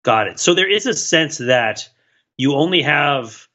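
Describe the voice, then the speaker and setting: A man's voice: fairly high pitch, expressive, a little slow, sharp tone, dull audio. A man chatting casually as a podcast host, inquisitive and thinking aloud as he works through questions and analogies. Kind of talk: casual chat.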